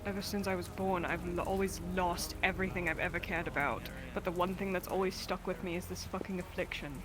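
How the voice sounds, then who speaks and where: A woman's voice: lowish voice, steady tone, brisk, close to an everyday voice. A woman playing a bitter, defiant, afflicted young noblewoman in a fantasy roleplay, with a brooding, emphatic delivery. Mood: bitter despair. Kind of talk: improvised fantasy roleplay acting.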